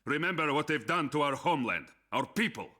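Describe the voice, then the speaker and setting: An actor playing a stern, resolute and vengeful Soviet commander in a military game cutscene, with a grave, commanding manner. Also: male voice, a little high, animated, fairly quick, bright, forceful tone. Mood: grim resolve. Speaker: Soviet officer giving briefings.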